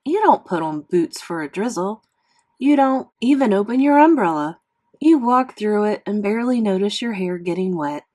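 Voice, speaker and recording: A woman's voice: mid-range voice, a little mellow. Woman reading a children's novel aloud, expressively. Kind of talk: expressive classroom read-aloud narration.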